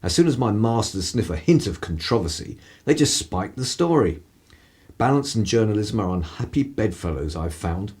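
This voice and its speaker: A man acting a cynical 1930s English broadcaster for radio drama. His voice is low and husky, swinging widely in pitch as he delivers world-weary, mischievous mockery in upper-class phrases. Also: sing-song, moderate pace, darkish tone. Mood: mocking, theatrical.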